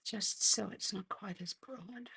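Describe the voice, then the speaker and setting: Female Australian craft host, gravelly and croaky, slow and chatty, talking through hands-on work live. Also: medium pitch, sharp tone. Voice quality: husky, croaky. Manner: unhurried, chatty, murmuring to herself while working. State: croaky voice, clearing throat. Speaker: host of a craft demonstration livestream. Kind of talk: casual live craft tutorial, talking while working.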